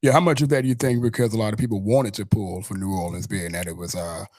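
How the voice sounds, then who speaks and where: A man with a low voice and a Southern US accent hosts a casual sports chat. He talks in a wordy, looping way, repeating phrases as he builds a question. The sound is dry, with little room to it. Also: steady tone, quick pace, darkish tone, dry recording. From Mississippi, United States. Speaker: podcast host.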